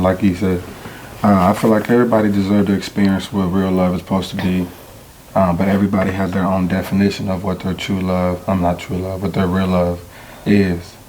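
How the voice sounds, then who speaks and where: A man's voice: sits low, darkish tone, a little hiss or hum, low-quality recording. A man in his twenties, a podcast panel guest who thinks aloud and hesitates with fillers. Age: 20s. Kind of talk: casual panel discussion.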